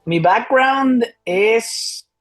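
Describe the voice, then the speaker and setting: A man in his thirties from Costa Rica, a comedian guest telling his life story in a casual, lively interview. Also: very high-pitched, extremely slow, faint music behind. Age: 30s.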